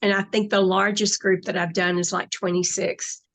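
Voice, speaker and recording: A woman guest in a spiritual interview, explaining slowly and deliberately over a remote call. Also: mid-range voice, little pitch movement, fairly bright tone.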